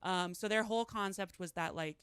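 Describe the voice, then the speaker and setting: A young woman with a deep voice, co-hosting a podcast, explaining things steadily with wry asides. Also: a little fast, fairly bright tone, clear, resonant tone, dry recording. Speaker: podcast co-host. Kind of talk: casual chat.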